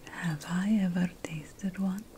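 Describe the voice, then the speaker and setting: A woman voicing a sly, conspiratorial potion-making witch in an ASMR fantasy roleplay, whispering slowly and deliberately with dramatic pauses. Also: fairly low pitch, warm tone, slight background noise. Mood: sly, secretive.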